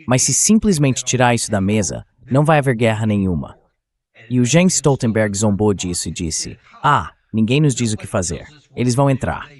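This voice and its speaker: A man's voice: lowish voice, expressive, moderate pace. A synthetic man's voice in a translated voice-over, giving explanatory political commentary as an expert guest would.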